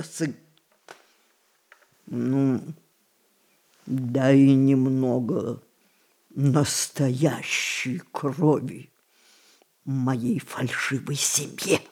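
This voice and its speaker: An actress playing a spirited, rebellious centenarian matriarch, romantic and commanding, in a theatrical radio play style. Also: female voice, a bass voice, drawn-out words, vocal fry, long pauses, a little mellow, husky, heavily acted. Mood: rousing. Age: older.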